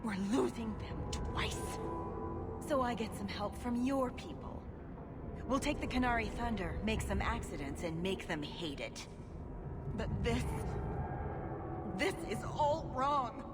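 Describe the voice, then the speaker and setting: A woman playing a bitter, weary elf zealot in a video game, deliberate and coldly vengeful. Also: a little high, expressive, loud music behind the voice. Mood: fanatical, weary.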